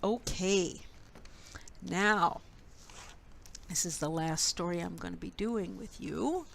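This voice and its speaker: Female storytime reader for small kids, unhurried pace with a creaky edge, clear instructions and dramatic storybook phrasing. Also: fairly low pitch. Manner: simple, instructive phrasing addressed to small children. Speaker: children's librarian leading storytime. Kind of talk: storytime for young children, with rhymes, songs and read-aloud. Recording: steady background noise.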